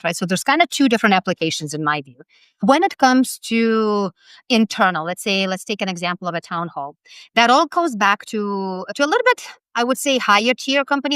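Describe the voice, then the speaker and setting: A woman in her forties with a Lithuanian accent gives firm, direct advice as an interview guest, laughing lightly between points. Her voice sits at a middle pitch for a woman. The sound is close and dry, with no room around it. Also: fairly bright tone, no echo. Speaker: personal branding expert guest.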